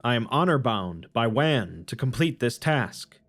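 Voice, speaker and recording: A man's voice: medium pitch, lively intonation, unhurried. A man voicing a devout, honor-bound woman saint who speaks solemnly and sternly. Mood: guarded, stern.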